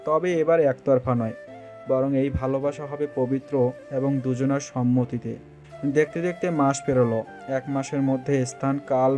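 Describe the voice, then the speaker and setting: Male narrator, mellow tone, scripted romantic storytelling over faint music. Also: mid-range voice.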